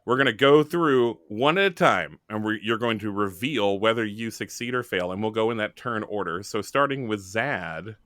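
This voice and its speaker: A man's voice: on the low side, normal speed, hardly any pauses, a bit bright, dry recording, studio quality. A man casually explaining rules and prompting players as he runs a tabletop game.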